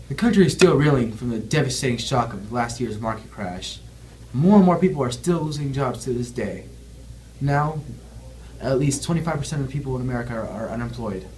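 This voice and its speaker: A man's voice: mid-range voice, animated, a little fast, darkish tone, poor audio. Man reading news over faint background noise. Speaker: news anchor and reporter in an amateur newscast.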